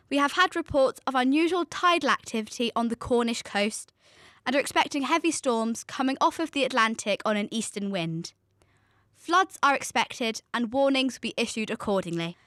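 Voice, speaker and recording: A young woman playing a composed radio weather presenter in a scripted drama, speaking in a measured, formal way. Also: a little high, animated, a bit bright, no room sound, studio quality. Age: young adult.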